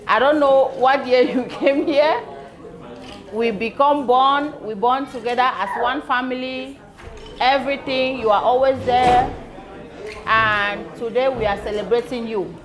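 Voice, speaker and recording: A middle-aged woman giving a birthday toast at a party, telling a story and laughing often. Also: high-pitched. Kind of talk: birthday speech. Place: party hall. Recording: loud crowd at a party.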